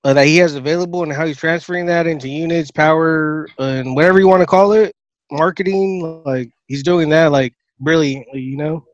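A man's voice: a little high, brisk, no echo, highs cut off. A man chatting casually as a co-host, giving his opinions in everyday conversational language. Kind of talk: casual chat.

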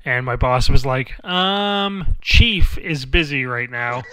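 A man's voice: medium pitch, lively intonation, slow pace, pushed and bright, smooth. A man co-hosting a casual podcast, easygoing and good-humored. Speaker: podcast co-host.

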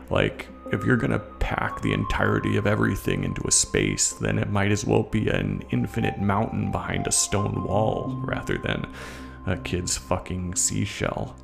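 Haunted male veteran, played by a man, horror audio drama. Lowish, very creaky, coarse and guilt-ridden, clear music underneath. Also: heavily acted. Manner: confessional, coarse, halting. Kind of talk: first-person horror audio story narration.

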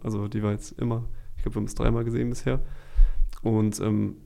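A young male podcast host with a gravelly, fry-heavy voice that sits on the low side. His intonation stays fairly flat while he chats in a laid-back, slangy way, often joking. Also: fast talker. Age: young adult. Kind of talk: casual chat, youth slang.

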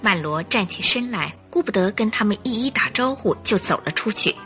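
A woman's voice: medium pitch, expressive, fairly quick, over music. A woman narrating a radio drama in a dramatic, scripted storytelling style.

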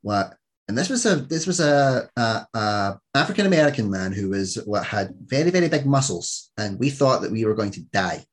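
A man's voice: lowish voice, sing-song, moderate pace, breathy edge. A Scottish man in his thirties hosting a podcast interview, telling stories in a rambling way with many restarts. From Scotland. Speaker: podcast host. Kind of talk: casual interview chat.

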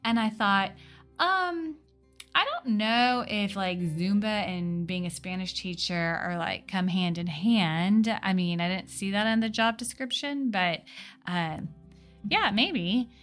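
Woman, podcast host, bright crisp-edged tone, conversational, casual animated delivery. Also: medium pitch, slow pace, faint music behind. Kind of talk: chatty podcast introduction and interviewing.

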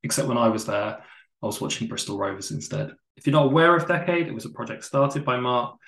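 A man's voice: mid-range voice, gravelly. A man hosting a casual podcast interview, chatty and self-deprecating. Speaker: podcast host. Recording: roomy echo.

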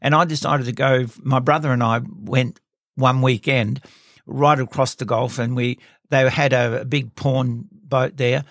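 A man's voice: medium pitch, steady tone, unhurried, fairly bright tone, dry recording, studio quality. An older man hosting a casual interview, telling long rambling anecdotes and chuckling often. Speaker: host.

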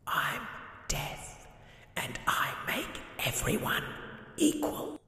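A man voicing Death as a sinister old man in a breathy, hissing whisper, calm and commanding, for a fairy tale. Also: very high voice, very slow, reverberant, in a large hall, pitched above the performer's own voice, exaggerated voice. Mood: solemn, ominous.

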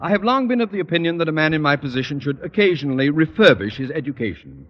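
Pompous, blustering school principal, wildly swooping. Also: male voice, on the high side, very animated, quick pace, muffled recording. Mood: fawning. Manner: pompous, stammering when flustered, fawning. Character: pompous, blustering, flustered middle-aged male school principal. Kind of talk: 1950s radio situation comedy acting. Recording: old radio recording, noisy studio audience.

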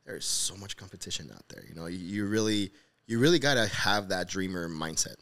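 Fast-talking, low-voiced man hosting. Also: animated, fairly bright tone. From Honduras. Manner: informal, encouraging, repeats phrases for emphasis. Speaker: podcast host. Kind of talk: casual conversational interview.

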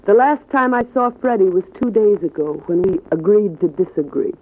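An American actress playing a sassy young dame in a 1940s radio crime drama. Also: female voice, mid-range voice, lively intonation, brisk, natural voice. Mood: cool, guarded. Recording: tinny band-limited old radio broadcast.

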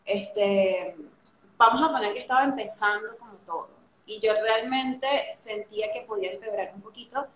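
A young woman from Venezuela with a Venezuelan accent, chatting casually as an interview guest, animated and full of fillers. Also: medium pitch, normal speed, a little hiss or hum. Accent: Venezuelan Spanish. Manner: chatty and animated, many fillers, laughs easily. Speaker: guest artist. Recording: roomy echo.

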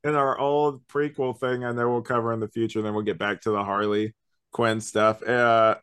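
A man's voice: mid-range voice, level tone, normal speed, a bit bright, clear, resonant tone. Man chatting casually about his opinions. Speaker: podcast co-host. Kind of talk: casual movie review chat.

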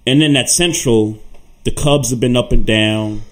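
A man's voice: medium pitch, unhurried. A man co-hosting a casual sports podcast, talking loosely and often repeating his words as he thinks. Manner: relaxed, stammers and repeats words. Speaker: podcast co-host.

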